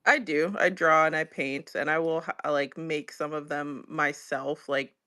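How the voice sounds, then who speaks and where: A man's voice: a little high, fairly even intonation, a little slow, bright, forceful tone, smooth. Man, talk show host, casual live stream, hesitant, many fillers, thinking aloud.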